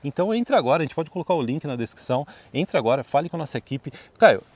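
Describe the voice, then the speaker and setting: A Brazilian man in middle age talks very fast, with a pitch that swings up and down. He hosts in a casual, emphatic, joking way, slipping easily into a sales pitch. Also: sing-song, darkish tone. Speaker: video host and study-abroad adviser. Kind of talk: casual two-host chat with promotional pitch. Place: outdoors in a wooded residential neighbourhood.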